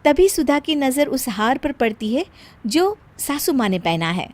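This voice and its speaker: A woman's voice: high voice, sing-song, unhurried, a little mellow, dry recording. A woman narrator reading a scripted story for a cartoon.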